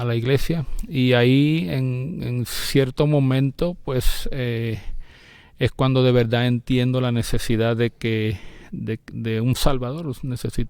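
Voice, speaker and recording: A man, a pastor telling his story in an interview in a measured way, often restarting words. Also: mid-range voice, gravelly, a little mellow, clear, resonant tone, no room sound. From Puerto Rico. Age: 50s.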